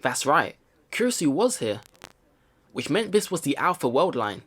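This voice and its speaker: A man's voice: on the high side, very animated, moderate pace, a bit bright, breathy edge. A man hosting a let's play, reading game text aloud expressively and adding casual commentary. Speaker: let's play video host. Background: game music.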